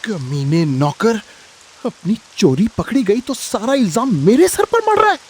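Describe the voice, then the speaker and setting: An actor playing a greedy, jealous, bullying eatery owner in a village cartoon story, accusing and blustering. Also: male voice, sits high, sing-song, a little slow, a little mellow, slight background noise. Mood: furious. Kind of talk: dramatic Hindi moral-story cartoon acting.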